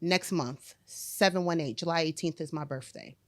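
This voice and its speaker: A woman in her late forties hosting a podcast in casual chat, blunt and animated, acting out quotes as she tells stories. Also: deep voice, normal speed. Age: 40s. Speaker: podcast host.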